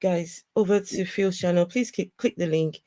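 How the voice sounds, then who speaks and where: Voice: medium pitch, moderate pace, dark tone, no room sound. Chatty, teasing co-host on a livestream, dry close sound. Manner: teasing, talkative, directs viewers to links. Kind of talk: casual livestream chat.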